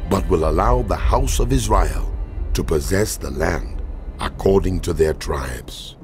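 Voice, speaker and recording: A man giving a dramatized scripture reading as narrator, in a solemn, measured, authoritative manner. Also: low-pitched. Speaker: Bible narrator. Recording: loud music behind voice. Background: loud background music.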